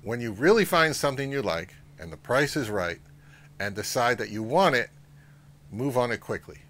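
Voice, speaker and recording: A middle-aged man giving advice to camera as a channel host, speaking in a measured, instructive way. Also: mid-range voice, lively intonation, a bit bright. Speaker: host of a vintage audio channel. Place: outdoors, among trees or on a porch.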